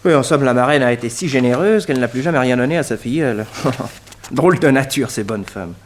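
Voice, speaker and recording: An actor playing a sceptical, wry detective's sidekick, casual and colloquial, in a 1960s French radio drama. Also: male voice, medium pitch, lively intonation, fast, slight background noise, close to an everyday voice.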